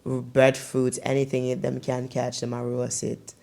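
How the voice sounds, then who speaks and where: Brooklyn woman podcast host, kinda fry-voiced, chatty and loose with lots of fillers. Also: extremely low pitch, a little slow. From Brooklyn, New York. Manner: animated, loose storytelling with many fillers. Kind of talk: casual podcast chat.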